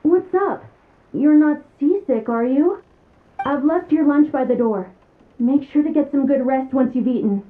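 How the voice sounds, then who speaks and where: A woman playing a caring female shipmate in a video game, speaking with gentle concern. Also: sits high, unhurried, narrow, tinny audio, background noise.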